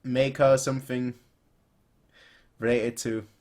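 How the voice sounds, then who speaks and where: A man's voice: medium pitch, level tone, takes it slowly, darkish tone. A man in his twenties giving casual, chatty reaction commentary, often rambling and repeating his phrases. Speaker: reaction video host. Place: small bedroom, indoors.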